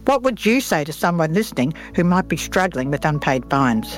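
A woman's voice: low voice, sing-song, fairly quick, soft background music. A woman hosting a radio interview, asking friendly, curious questions. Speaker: radio host.

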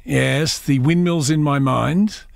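A man's voice: a little high, slow, creaky, a little hiss or hum. A man hosting a casual radio chat, playful and teasing, breaking into bits of song. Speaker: radio host.

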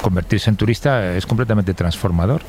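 A man hosting a casual radio chat, speaking in a halting way and repeating words as he goes. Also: fairly low pitch, darkish tone, slight background noise. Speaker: radio show host.

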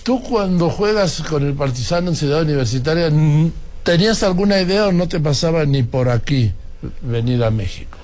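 A man's voice: on the high side, normal speed, a little mellow. An older man with a Mexican accent, hosting a conversational interview. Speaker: interviewer, host.

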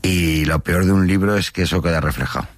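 A man from Spain, a comedian and actor, chatting as a guest in a casual interview, joking with deadpan asides and swearing freely. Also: low voice, a little fast, slight background noise. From Spain, born in Barcelona.

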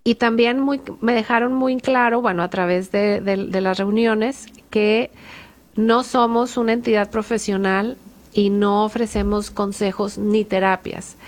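A woman's voice: medium pitch, steady tone. Earnest woman sharing her family story. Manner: earnest, halting with fillers and restarts. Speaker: Al-Anon member sharing experience. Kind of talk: panel discussion testimony.

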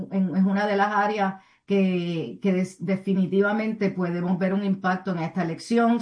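A woman speaking as a community advocate in an interview, earnest and somewhat halting, often adding tag questions. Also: medium pitch, highs cut off. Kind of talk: interview answers, civic advocacy.

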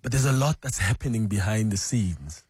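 A man hosting a morning radio talk show, relaxed and chatty, with frequent ums. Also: fairly low pitch, normal speed, slightly rough, no echo. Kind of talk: radio interview. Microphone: studio microphone.

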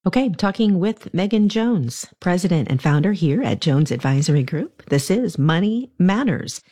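Low, lively woman radio host. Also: moderate pace. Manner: friendly, laughs often, brief reactions. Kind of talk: hosting a radio talk show.

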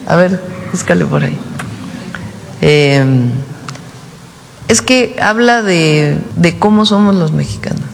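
A man speaking at a press conference in a measured, folksy manner. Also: high voice, creaky, slight background noise, poor audio. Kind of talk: public address.

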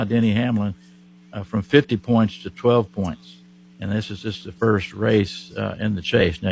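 Gravelly Oklahoma man hosting a racing show. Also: on the low side. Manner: folksy, relaxed delivery with frequent fillers. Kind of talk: casual motorsport chat.

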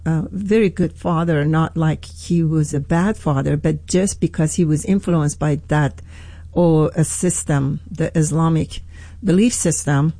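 A woman from Iran with an Iranian accent, a pastor telling her story in a radio interview, earnest and halting with many restarts. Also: deep voice, mellow, no room sound. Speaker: pastor, guest.

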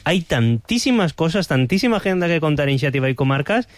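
A man's voice: fairly high pitch, sing-song, quick pace. A man hosting a radio show, easygoing and bantering. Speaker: radio host.

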